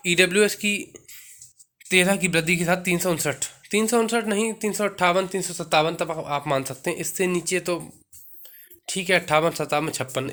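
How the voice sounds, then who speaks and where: A man talks through figures like a coaching teacher. His voice sits a little high and has a bright, forceful edge. He explains informally and keeps checking that listeners are following. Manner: didactic, checks in with "theek hai". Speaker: exam-coaching video host.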